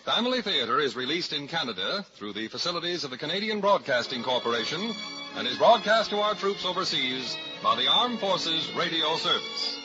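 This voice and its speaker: Lively high-pitched American radio announcer man. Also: fairly quick, loud music behind the voice. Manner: formal, projected, polished. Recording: muffled old radio recording.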